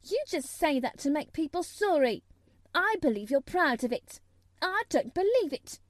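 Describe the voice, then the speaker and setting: A woman's voice: sits high, expressive, moderate pace, mellow, no echo, voice pushed up. A woman voicing a sour, obstinate young girl who retorts fiercely, as in a storybook reading. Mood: sour, contradicting. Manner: defiant, retorting. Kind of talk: audiobook character voice.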